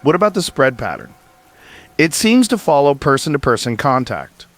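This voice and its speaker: A man's voice: medium pitch, wildly swooping pitch, normal speed, fairly bright tone. A man playing a curious, skeptical host of a news interview in a radio drama, probing and a little incredulous. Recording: music playing under the voice. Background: background music.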